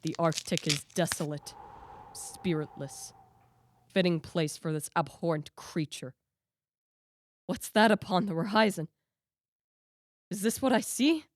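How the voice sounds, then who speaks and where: Radio play lead: female actor as tormented male scientist, dying and vengeful, low register, solemn melodramatic delivery. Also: unhurried, long pauses, close to an everyday voice. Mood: weary resolve. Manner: solemn, anguished, melodramatic declaiming. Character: dying, vengeful male scientist obsessed with hunting his creation. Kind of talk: theatrical radio play acting.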